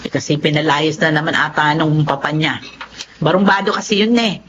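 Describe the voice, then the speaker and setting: An actress playing a protective, weary middle-aged aunt in a Filipino radio drama, stern but soft-hearted. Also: female voice, deep voice, fairly quick, a little hiss or hum. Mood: weary, sympathetic. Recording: dull radio drama audio.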